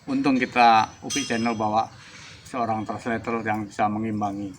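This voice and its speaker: A man with an Indonesian accent hosting a bird-breeding channel, presenting in an energetic, emphatic announcer style. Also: lowish voice, a little fast, a little hiss or hum. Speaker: host.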